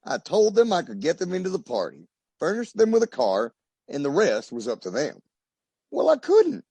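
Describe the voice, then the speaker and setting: An actor playing a nervous, guilty wealthy husband with a husky voice and a flustered, theatrical manner in an old-time radio detective drama. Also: male voice, sits high, wildly swooping pitch, moderate pace, dark tone, no room sound, exaggerated voice. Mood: sheepish.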